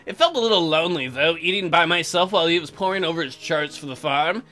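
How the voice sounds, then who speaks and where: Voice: medium pitch, fast talker, pushed and bright, faint music behind. A let's play host reading game text aloud and breaking in with sarcastic, crude joking commentary.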